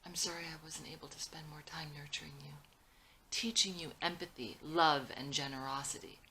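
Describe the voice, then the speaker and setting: A woman acts out an older visionary father in a film script reading. The delivery is earnest and emotional, speech-like, with a bright edge over slight background noise. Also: low-pitched, steady tone, moderate pace. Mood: sorrowful, apologetic. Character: sentimental older founder father, idealistic and disappointed.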